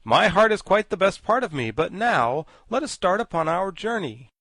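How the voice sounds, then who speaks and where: A man voicing a kind-hearted, earnest tin man who speaks out loudly. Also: high voice, big pitch swings, moderate pace, dry recording, pitched above the performer's own voice, heavily acted.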